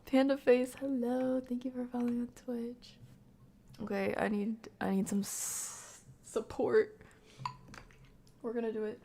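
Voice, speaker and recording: A woman doing casual live commentary on a horror game, talking to chat in slang and thinking out loud as she reacts. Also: a little high, little pitch movement, a little slow, creaky, long pauses. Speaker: game streamer.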